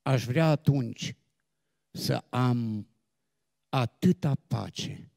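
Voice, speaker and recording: A man reciting devotional poetry solemnly and expressively, with dramatic phrasing and deliberate pauses. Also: mid-range voice, creaky, a little mellow, dry recording, clean studio sound. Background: instrumental music.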